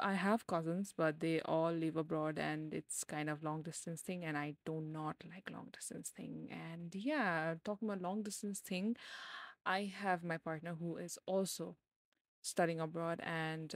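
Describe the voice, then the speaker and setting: A woman's voice: lowish voice, no room sound, clean studio sound. A woman in her twenties hosting a casual solo podcast, rambling with lots of fillers and growing livelier as she talks. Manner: rambling, filler-heavy, gets brighter and louder as she goes. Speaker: podcast host.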